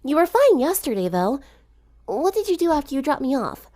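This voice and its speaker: A woman's voice: sits high, sing-song, moderate pace, dark tone, pitched above the performer's own voice. A woman voicing an earnest, inquisitive teenage girl who speaks politely and thoughtfully in a story reading. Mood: concerned.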